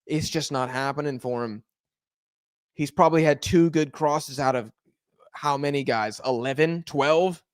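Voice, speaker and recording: A hoarse man calls a live football match as a watch-along host. He talks in a fairly high voice, close and dry on the mic, and bursts into shouts at every near miss. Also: unhurried, no room sound. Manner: excitable, shouts at big chances. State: hoarse, losing voice.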